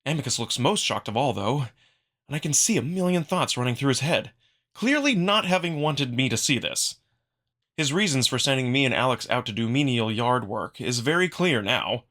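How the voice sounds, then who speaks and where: Bright-voiced man narrating dramatically. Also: mid-range voice, normal speed. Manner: expressive, acting out the narrator's feelings. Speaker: narrator, amateur voice performer. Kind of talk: dramatic first-person visual novel narration.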